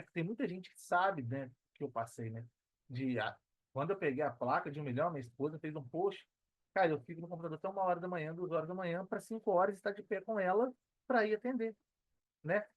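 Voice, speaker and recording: High-pitched earnest Brazilian man. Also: dry recording, highs cut off. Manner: earnest, emphatic, slangy, repeats phrases for emphasis. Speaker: podcast guest, digital entrepreneur. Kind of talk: casual conversational interview talk.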